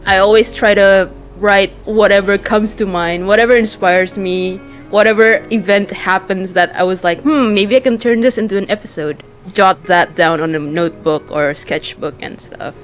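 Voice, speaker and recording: A man with a light, high-pitched voice, speaking informally and with youthful slang as a guest in a casual interview. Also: normal speed, hardly any pauses, a bit bright. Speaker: interview guest, webcomic artist. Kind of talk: casual chat. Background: background music.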